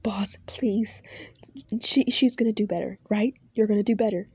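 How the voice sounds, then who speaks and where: A woman's voice: mid-range voice, fairly even intonation, normal speed, a little mellow, husky, no room sound. A woman voicing an affectionate male coworker who stammers when nervous. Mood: pleading.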